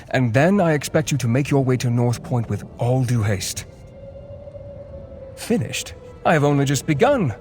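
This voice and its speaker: A man's voice: mid-range voice, a little mellow, close to an everyday voice. An actor playing a learned male scholar who gives orders in a formal, curt and impatient way.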